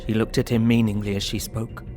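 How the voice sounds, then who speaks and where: An actor playing a grave, troubled young husband, reading out his diary in a measured way over music. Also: fairly low pitch, flat delivery, a little mellow, lightly acted. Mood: pained, hushed. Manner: sombre, measured. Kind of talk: audio drama diary narration. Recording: music under the voice.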